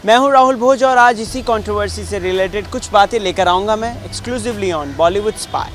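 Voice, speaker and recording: High-pitched Indian male street reporter. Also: moderate pace, fairly bright tone, a little hiss or hum. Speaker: street reporter interviewing the public. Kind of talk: vox pop presenting and questioning.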